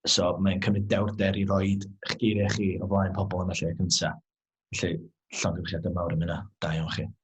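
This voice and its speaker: Low, husky North Welsh man. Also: monotone, fairly quick. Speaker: theatre practitioner. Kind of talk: informal congratulatory remarks. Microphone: video call.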